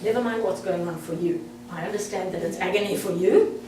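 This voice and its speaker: A woman's voice: fairly low pitch, fairly quick. South African woman presenter, friendly and chatty with the crowd, in a roomy hall well back from the mic. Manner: friendly, direct, chatty with the audience. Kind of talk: interactive talk to an audience. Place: meeting room. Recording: echoey room, far from the mic, faint hum.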